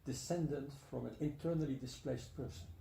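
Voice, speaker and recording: A man's voice: medium pitch, a little fast, vocal fry, warm tone. An older man, an academic guest answering with personal anecdotes. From Netherlands. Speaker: guest, emeritus professor. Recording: echoey room, faint background noise.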